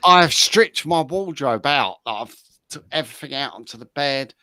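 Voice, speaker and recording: A man's voice: fairly high pitch, animated, moderate pace, bright, forceful tone, clear, resonant tone, no echo. A man from the UK with a British accent, chatting casually as a co-host. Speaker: show co-host. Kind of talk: casual chat.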